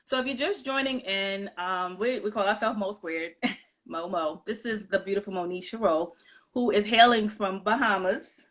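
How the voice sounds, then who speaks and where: A woman's voice: medium pitch, moderate pace, a bit bright. A woman from New York hosting a casual live-stream chat, affirming her guest and greeting viewers, with many fillers. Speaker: host.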